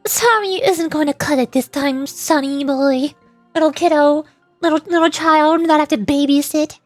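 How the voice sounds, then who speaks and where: Woman playing a high-pitched scolding granny. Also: normal speed, no room sound, faint music behind, natural voice. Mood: stern, mocking. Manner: stern, exasperated, mocking. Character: scolding, sarcastic granny berating her young charge. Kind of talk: game dialogue voice acting.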